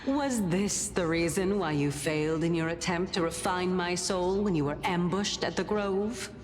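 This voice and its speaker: An actress playing a playful, curious deity of reason who teases and muses theatrically in a video game. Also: female voice, lowish voice, moderate pace, a little mellow, a little hiss or hum, close to an everyday voice. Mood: amused musing. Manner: playful, theatrical, leisurely, musing. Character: teasing, curious female deity of reason living in a man's mind. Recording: long reverb, music underneath.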